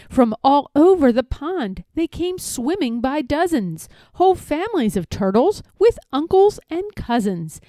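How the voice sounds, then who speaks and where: A woman narrating a rhyming children's storybook in an expressive read-aloud style. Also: high voice, no echo.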